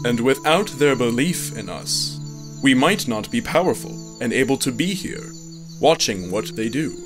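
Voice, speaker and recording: A man's voice: mid-range voice, animated, normal speed, over music, close to an everyday voice. A man playing a pragmatic, reflective god with formal speech in a fantasy audio drama. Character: pragmatic, reflective god watching his descendants.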